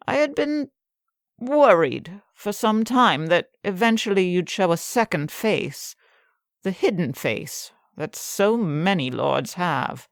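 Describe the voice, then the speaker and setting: A woman's voice: mid-range voice, dry recording, studio quality. A woman playing a hard, devout middle-aged prioress, stern and weary, firm and forthright in a dramatized fantasy audiobook. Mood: defensive, frustrated.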